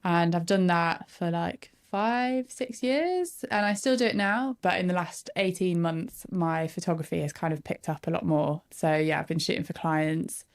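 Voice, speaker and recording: A woman's voice: fairly low pitch, normal speed, few pauses. A woman, chatting casually as a guest and laughing often. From northern England. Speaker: photographer guest. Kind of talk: casual podcast interview.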